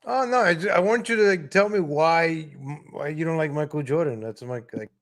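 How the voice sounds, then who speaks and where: A man calls in to a live stream, his voice a touch high. He speaks casually and laid-back, sometimes stumbling over his words. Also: moderate pace. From born in Ecuador, grew up in New York. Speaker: caller. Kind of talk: casual chat.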